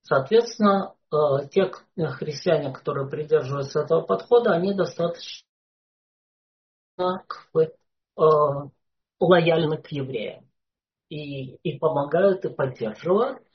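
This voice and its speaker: Unhurried, halting lecturer with mid pitch, many filler pauses, dull lo-fi recording. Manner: hesitant, frequent "uh" fillers. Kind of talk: unscripted lecture.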